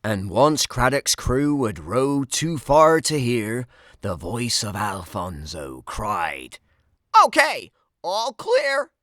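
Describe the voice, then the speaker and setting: Slow, theatrical male storyteller. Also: fairly low pitch, expressive, fairly bright tone, no room sound, clean studio sound. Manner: theatrical, dramatic delivery. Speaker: storybook narrator. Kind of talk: animated children's read-aloud of rhyming story.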